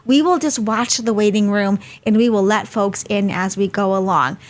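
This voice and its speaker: A woman's voice: medium pitch, normal speed. A woman hosting a live webinar over a video call, chatty and friendly, laughing easily. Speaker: host.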